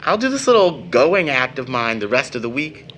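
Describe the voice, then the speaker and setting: A man's voice: medium pitch, sing-song, fairly bright tone, a little hiss or hum. An actor playing a young man, a devoted son with a bitter, teasing streak, in a dramatic audio play. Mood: wry, discouraged. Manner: dramatic, emphatic delivery with long pauses. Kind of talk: stage-style audio drama acting.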